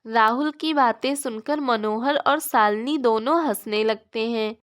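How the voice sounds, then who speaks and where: A woman's voice: fairly high pitch, unhurried. A woman narrator reading a scripted children's story.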